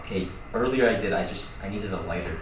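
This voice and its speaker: A man's voice: on the low side, fast talker, natural voice. A man playing a sheepish, guilty roommate in a naturalistic acted scene, with a hesitant, stammering delivery. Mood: nervous, guilty. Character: sheepish, guilty male roommate confessing odd habit, desperate. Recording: distant echoey room, clear background noise.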